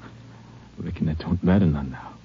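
An actor playing a badly wounded, grieving deputy with a drawl, speaking weakly and haltingly in a radio western. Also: male voice, extremely low pitch, a little hiss or hum. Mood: weary, grieving. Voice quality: husky. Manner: halting, folksy rural speech. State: weak, labored speech. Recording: muffled old-time radio recording.

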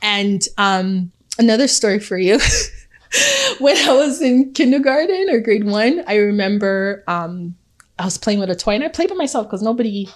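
A woman's voice: mid-range voice, normal speed. A woman from Ghana whose first language is Twi, telling personal stories as an interview guest and laughing often. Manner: storytelling, laughs often, animated. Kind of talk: casual interview.

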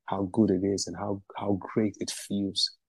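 A man's voice: on the low side, little pitch movement, unhurried, husky, dry recording, rough recording. A man from Ghana, a musician and activist, answering interview questions in a passionate, emphatic way and repeating phrases for stress.

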